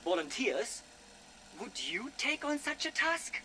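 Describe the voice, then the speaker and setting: A man's voice: extremely high pitch, very animated, takes it slowly, bright, forceful tone, slight background noise, full character voice, rough recording. A man playing a nervous, doubting German scientist subordinate, anxious and questioning, in a game audio log. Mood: incredulous.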